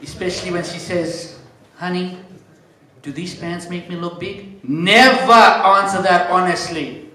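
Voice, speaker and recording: South african guy mc'ing a comedy club, deadpan jokes, kinda high voice, super echoey. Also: a little slow. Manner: deadpan one-liners, chatty asides to the crowd. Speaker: comedy show MC. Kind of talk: stand-up compering. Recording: very echoey room.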